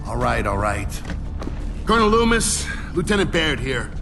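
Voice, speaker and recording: An actor playing a defiant, practical young army lieutenant in a video game, brisk and matter-of-fact. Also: male voice, medium pitch, big pitch swings, creaky. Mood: hesitant. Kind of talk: video game cutscene acting. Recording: music and vehicle sound behind.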